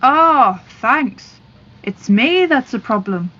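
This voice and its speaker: A woman's voice: on the high side, lively intonation, takes it slowly, a bit bright, dull audio, heavily acted. A woman playing a bitter, sceptical middle-aged village mother in an audio drama, curt and sarcastic.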